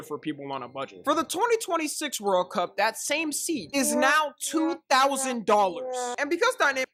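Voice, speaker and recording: A woman's voice: sits high, sing-song, normal speed, fairly bright tone. A woman giving opinionated, conversational video commentary with an indignant edge.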